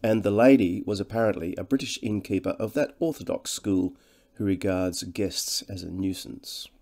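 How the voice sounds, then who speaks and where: Guy narrating a novel, dark soft-edged tone, measured storyteller style. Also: deep voice. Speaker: audiobook narrator. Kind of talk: scripted literary narration.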